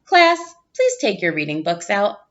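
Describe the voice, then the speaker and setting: A woman reading a storybook as a kind, gentle schoolteacher with an encouraging, measured manner. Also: medium pitch, wildly swooping pitch, muffled recording. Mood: bright, encouraging.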